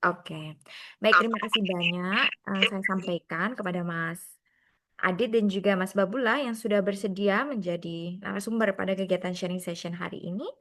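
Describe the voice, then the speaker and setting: A woman moderating an online sharing session, friendly and brisk, mixing in English phrases. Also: mid-range voice, sharp tone.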